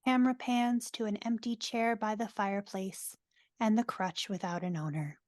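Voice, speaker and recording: Woman reading out script directions, bit wry, normal pitch, no room echo. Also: fairly even intonation, moderate pace, darkish tone, studio quality. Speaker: narrator reading stage directions. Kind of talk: scripted narration in a live reading.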